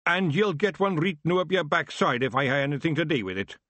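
A man voicing a gruff male fairy warrior with a Scottish accent, growling his threats. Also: a little high, expressive, brisk, fairly bright tone, no room sound, voice pushed up.

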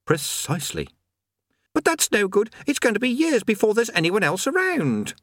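A man voicing a demanding, whiny teenage boy in an audiobook reading, his voice pushed high and strained. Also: wildly swooping pitch, moderate pace, a bit bright, no echo, studio quality, full character voice. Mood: frantic whining. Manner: petulant, pleading, high and strained. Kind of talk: audiobook character voice.

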